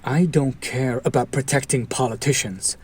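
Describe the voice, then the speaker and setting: A man playing a bitter, protective fallen hero turned villain in a dramatic audio roleplay, speaking in a deliberate, sardonic, theatrical way. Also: medium pitch, darkish tone, slight background noise, lightly acted. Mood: bitter, impassioned. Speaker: voice actor. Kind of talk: dramatic audio roleplay monologue addressed to listener.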